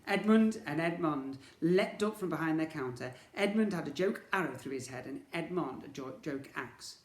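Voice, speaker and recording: Very animated man reading a children's story. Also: a little high, moderate pace, echoey room.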